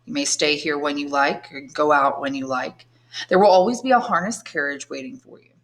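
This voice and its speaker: Calm noble count, low measured voice, a woman reading his lines, audiobook. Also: close to an everyday voice. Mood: earnest. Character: calm, noble older man, tender and commanding.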